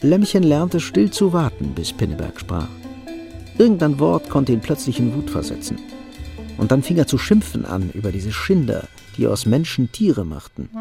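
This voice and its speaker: A man's voice: fairly high pitch, sing-song, moderate pace, vocal fry, over music. A man narrating a literary radio drama as a sober storyteller. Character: sober storyteller of a young couple's hardships.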